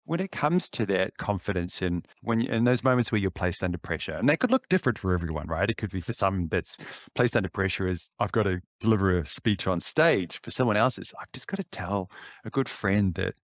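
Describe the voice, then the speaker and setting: A New Zealand man in his fifties speaks as a podcast guest over a video call, sounding reflective and often restarting his sentences. His voice sits a bit low, and the recording is dry and close, with almost no room sound. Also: expressive, a little fast, no room sound. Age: 50s. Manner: reflective, with light laughs and frequent restarts. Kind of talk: casual interview chat. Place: indoor office. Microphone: headset on a video call.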